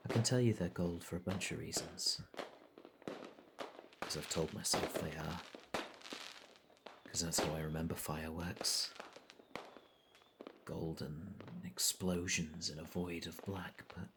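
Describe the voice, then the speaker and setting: A deep-voiced, sorrowful man played by a male actor speaks in an intimate film monologue. He is reflective and understated, feeling his way through halting phrases. The recording carries noticeable noise behind him. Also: steady tone, gravelly. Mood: wistful. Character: melancholy colourblind man losing his regained colour vision.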